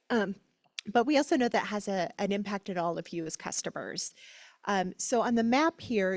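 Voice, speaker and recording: A woman's voice: medium pitch, darkish tone, no echo, studio quality. A woman speaking as a company representative at a community meeting, explaining things in a conversational, informal way. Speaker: utility company representative presenting.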